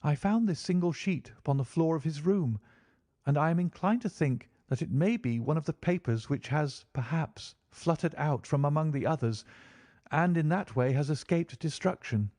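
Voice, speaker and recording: A man's voice: expressive, moderate pace, dark tone, no room sound, studio quality. A man voicing a frightened young Englishman who speaks earnestly and pleadingly, reading an audiobook. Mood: anxious. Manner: earnest, pleading, raised pitch.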